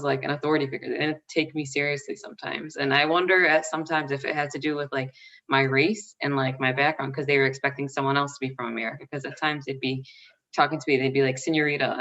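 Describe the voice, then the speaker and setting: Woman, low voice, chatty panelist, laughs a lot, far from mic, muffled sound. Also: a bit bright. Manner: chatty, laughs often, many "like" fillers. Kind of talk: casual panel chat.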